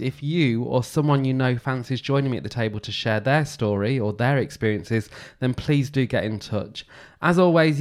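Earnest, slightly nasal man in his forties. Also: mid-range voice. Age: 40s. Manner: earnest, reflective, candid. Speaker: podcast host. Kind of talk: solo podcast monologue.

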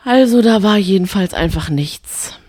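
A woman's voice: mid-range voice, lively intonation, a little slow, darkish tone, husky, no echo, studio quality. A woman co-hosting a podcast, chatting casually about reality TV. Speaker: podcast co-host. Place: hotel room.

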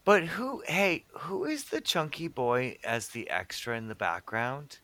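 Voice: mid-range voice, huge pitch swings, a little slow, fairly bright tone, dry recording. A podcast host chatting casually about a film in a blunt, joking way, swearing freely. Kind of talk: casual film commentary chat.

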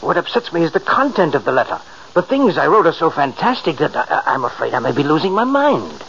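A muffled old radio drama recording carries an agitated, skeptical father. His voice is on the high side and swings widely in pitch. He is emphatic and incredulous, with a theatrical 1940s delivery that stumbles into stammers when rattled. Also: very animated, fast talker, creaky, fairly bright tone, a little hiss or hum, exaggerated voice. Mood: troubled.

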